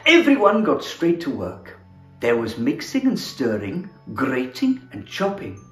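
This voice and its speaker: A man reading a children's picture book aloud in an expressive, theatrical manner, as a storyteller playing Santa. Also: fairly high pitch, unhurried. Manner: animated, theatrical, with chuckles. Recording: roomy echo, music behind. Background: music.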